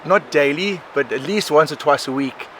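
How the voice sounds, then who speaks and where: A South African man in his forties, a podcast guest, telling his life story in a rambling, animated way, often laughing. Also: fairly high pitch, normal speed. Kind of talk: casual conversational storytelling. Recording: outdoor recording with clear background noise.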